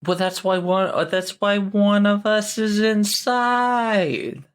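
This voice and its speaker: A player chats casually during a tabletop role-playing game. The voice is mid-pitched and slow, with a tentative manner of thinking aloud.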